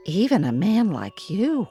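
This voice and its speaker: An actress in a radio drama playing a plain-spoken, wisecracking housewife with a sardonic, folksy manner. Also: female voice, mid-range voice, huge pitch swings, slow, mellow, husky, faint music behind, full character voice. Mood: skeptical, concerned. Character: wisecracking, plain-spoken working-class housewife, exasperated with husband.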